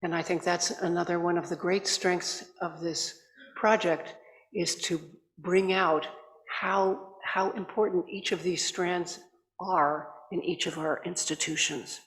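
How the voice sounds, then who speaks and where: An older woman chairing a conference, giving a measured, courteous welcome into a desk microphone. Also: on the low side, steady tone. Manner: measured, courteous, slightly halting. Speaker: assembly chair. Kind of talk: formal welcoming address. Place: large conference hall. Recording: echoey reverberant hall.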